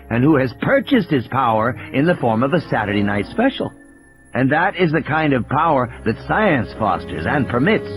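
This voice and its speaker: A man's voice: medium pitch, natural voice, poor audio. A man playing an intense male mathematician who lectures in an emphatic, scornful way, in an audiobook drama. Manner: emphatic, didactic.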